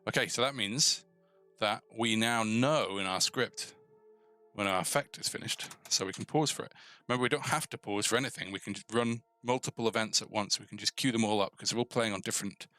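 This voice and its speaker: A man hosting a casual live coding tutorial, thinking aloud informally and tentatively, often pausing mid-thought as he works. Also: fairly low pitch, sharp tone, faint music behind. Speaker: host of a coding tutorial stream.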